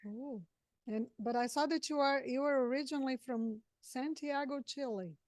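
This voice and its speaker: A woman from Brazil, Portuguese her first language, hosting an interview over a video call and speaking in halting phrases with frequent restarts. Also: high-pitched, no room sound. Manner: halting, many restarts and fillers. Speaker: podcast host. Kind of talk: casual interview chat.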